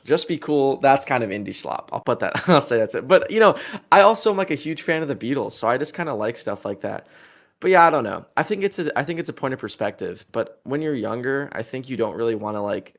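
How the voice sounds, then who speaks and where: A man's voice: mid-range voice, lively intonation, fast. A man in his twenties, a musician answering an interview in a rambling, heated way, full of fillers and crude insults. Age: 20s.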